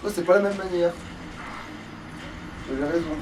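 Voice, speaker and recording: A man's voice: sits high, moderate pace. A man doing casual let's play commentary, grumbling and swearing as he plays. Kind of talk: casual gaming commentary while playing. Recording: distant mic, faint background noise.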